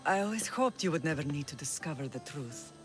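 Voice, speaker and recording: A woman's voice: low voice, expressive, fairly quick, soft background music. A woman playing a grave, firm middle-aged mother who leads an ancient Greek island in a video game. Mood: guarded, grave. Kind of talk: video game cutscene acting.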